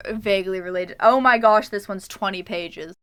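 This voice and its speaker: A woman's voice: medium pitch, moderate pace, a bit bright, clean tone. A young adult Canadian woman streaming a game, chatting casually and reading her journal entries aloud. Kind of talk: casual livestream chat while gaming.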